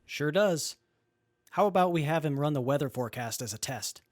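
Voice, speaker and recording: A man's voice: on the high side, lively intonation, normal speed, dry recording, studio quality, natural voice. A man playing an earnest, naive young tinkerer with a guileless manner in an audio drama.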